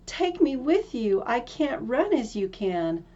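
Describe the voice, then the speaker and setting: A woman's voice: fairly high pitch, big pitch swings, slow pace, warm tone, dull audio, pitched above the performer's own voice, exaggerated voice. A woman reading a storybook, voicing a small talking frog who pleads and makes insistent demands. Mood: pleading.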